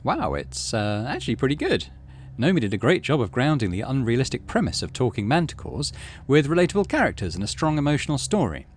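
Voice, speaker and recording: A man's voice: mid-range voice, huge pitch swings, fast talker, few pauses, slight background noise. A man doing casual game commentary, thinking aloud and reading game text out as the host of a gaming channel.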